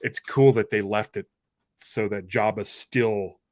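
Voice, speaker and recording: A man's voice: fairly low pitch, fairly even intonation, unhurried, dry recording. Man in casual fan talk, dry close sound. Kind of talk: casual film discussion.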